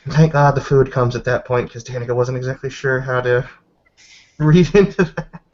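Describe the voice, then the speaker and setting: A man casually narrating a character's reactions in a tabletop game. The voice is medium in pitch. The recording sounds dull and muffled. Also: fairly even intonation, moderate pace, a little mellow. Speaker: tabletop roleplaying player.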